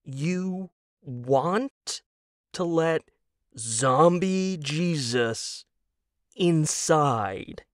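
A man voicing a scornful, exasperated wife in an audio drama, spelling out her disbelief with slow emphasis. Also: fairly high pitch, lively intonation, no echo, clean studio sound, pitched above the performer's own voice. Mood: incredulous. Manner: incredulous, slow emphatic delivery. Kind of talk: audio drama character dialogue.

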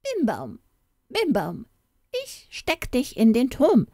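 A squeaky, high little church goblin, as a woman reading aloud puts him on. His pitch jumps all over as he taunts and whines, and the recording is close and dry. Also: wildly swooping pitch, slow, darkish tone, no echo, full character voice. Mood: mocking. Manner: squeaky and mocking, later whining. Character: small male church goblin, cheeky then wailing. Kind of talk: storybook character voice.

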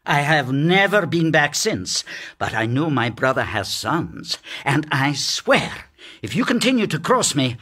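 A man's voice: a little high, sing-song, a little slow, pushed and bright, slightly rough, full character voice. An actor playing a stern, sarcastic middle-aged father and businessman in a formal period radio drama. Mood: scornful.

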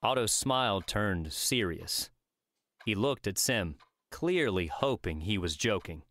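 A man's voice: on the low side, lively intonation, takes it slowly, a bit bright. A man reading scripted story narration as an audiobook narrator.

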